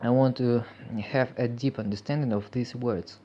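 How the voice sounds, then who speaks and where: A man with a Russian accent, a native Russian speaker, talking in an unscripted personal vlog, halting and full of fillers, reflective and earnest. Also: mid-range voice, steady tone, muffled recording. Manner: hesitant, halting, many fillers. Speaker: vlogger.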